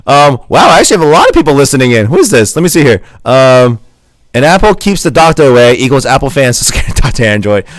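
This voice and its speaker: A man's voice: medium pitch, very animated, fast talker, dry recording. A young man from Pennsylvania hosting a casual live-stream podcast, reading viewer comments aloud and laughing often. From Pennsylvania, USA. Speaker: podcast host.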